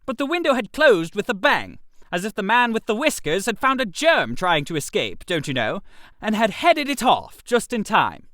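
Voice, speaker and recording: A man narrating a comic first-person story in a theatrical, expressive manner. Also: sits high, moderate pace, dry recording, clean studio sound.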